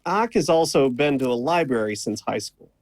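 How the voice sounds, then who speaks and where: An actor playing an impulsive, sarcastic young man on an adventure in an audio drama, blunt and excitable. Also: medium pitch, normal speed, no echo, close to an everyday voice. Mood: impatient.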